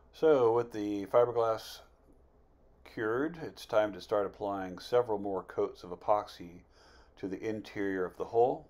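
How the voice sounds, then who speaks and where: A man in his fifties giving a casual, plain-spoken, unhurried voice-over as an amateur builder narrating his project. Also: lowish voice, darkish tone. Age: 50s.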